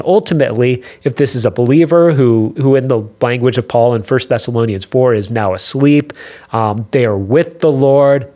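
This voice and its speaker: A man in his forties talks through his solo podcast in an earnest, thoughtful way. His voice is mid-pitched and a little raspy from a cold, and he often doubles back to restart a phrase. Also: darkish tone. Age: 40s. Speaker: podcast host.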